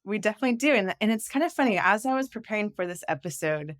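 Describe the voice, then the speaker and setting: A woman hosting a podcast, reading a polished guest intro and then chatting with eager excitement. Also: mid-range voice, fast, dry recording, clean studio sound. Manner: enthusiastic, bubbly and loud in conversation. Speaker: podcast host. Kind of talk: scripted intro, then casual interview. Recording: intro read over music.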